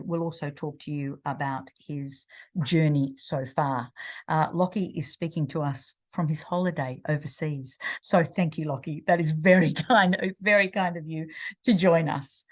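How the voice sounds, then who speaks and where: A woman, hosts a live online career event, introducing panel speakers in a chatty way with many restarts. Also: lowish voice. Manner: chatty, restarts and stumbles over names. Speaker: host of a university career chat.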